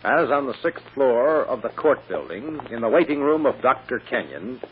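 A man's voice: mid-range voice, big pitch swings, normal speed, natural voice. A man delivering dramatic narration as the announcer of an old-time radio serial. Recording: tinny, narrow-band, noisy old radio recording.

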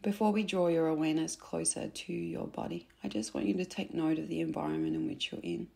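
A woman guiding a meditation in a soft, gentle, unhurried way, with phrase endings that turn breathy. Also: sits low, fairly even intonation. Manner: gentle, unhurried, instructing softly with pauses. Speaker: meditation guide. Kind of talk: soothing guided meditation narration.

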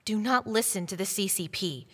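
A woman's voice: medium pitch, moderate pace, slightly rough, lightly acted. A woman playing a devout, defiant middle-aged wife in a dubbed stage drama, earnest, preachy and challenging. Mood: urgent, preaching. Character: devout, defiant wife pursued for her faith. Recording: reverberant hall-like sound.

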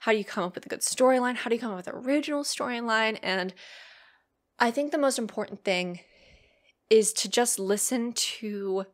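Chatty young woman, slightly hoarse. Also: a little high, fairly quick. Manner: rambling, many ums and likes. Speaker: podcast host and roleplay series writer. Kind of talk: casual solo podcast chat.

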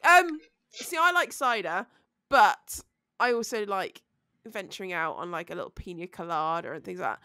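A woman's voice: lowish voice, animated, moderate pace, sharp tone, dry recording, clean studio sound. A British woman co-hosting a podcast, chatting in a giggly, easygoing way. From Britain. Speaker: podcast co-host. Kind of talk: casual chat. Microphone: headset and studio mic at home.

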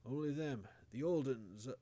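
A man voicing a dying, half-mad old rustic grandfather in a horror story, halting and urgent, with wheezing, choked speech. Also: medium pitch, warm tone, pitched above the performer's own voice, natural voice. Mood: urgent, failing.

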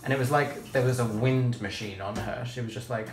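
A man's voice: medium pitch, a little fast. A young man in casual banter from behind the camera, teasing and playful. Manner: teasing, playful, laughs often. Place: small home kitchen. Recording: echoey room, off-mic, clear noise.

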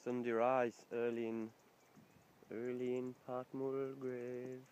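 A man's voice: mid-range voice, completely flat delivery, slow pace, darkish tone, clean tone, highs cut off. Chatty man vlogging outdoors. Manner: chatty, swearing, laughs easily. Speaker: vlogger, wild camper. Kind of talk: casual outdoor vlog. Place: outdoors on a coastal hillside. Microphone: handheld phone.

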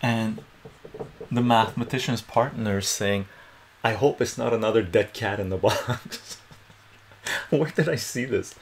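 A man's voice: mid-range voice, gravelly. A middle-aged man born in Iran with Armenian as his first language, chatting casually through a math lesson at a whiteboard in a small home room and often asking "right?". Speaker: math tutor.

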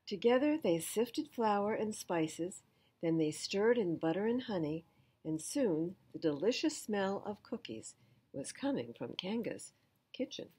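An older woman, around her seventies, reading a children's storybook aloud in an expressive, deliberate manner. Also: mid-range voice, slight background noise. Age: older, 70s. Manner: slow, deliberate, animated reading. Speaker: children's storytime reader at a library. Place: indoors in front of full bookshelves.

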